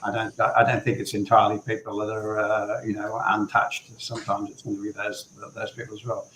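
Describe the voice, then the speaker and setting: Low, flat-voiced man moderating a panel. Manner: measured, hesitant with fillers and repeated words. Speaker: panel moderator, hair restoration surgeon. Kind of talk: live stream panel discussion. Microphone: video call. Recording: roomy echo on a video call.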